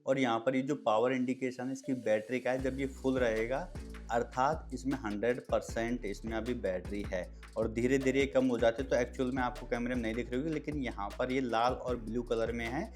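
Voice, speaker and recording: A man's voice: mid-range voice, steady tone, brisk. Man explaining, music behind him. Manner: explanatory, step-by-step, addressing viewers as friends. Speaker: product reviewer and video host. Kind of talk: casual product review demonstration.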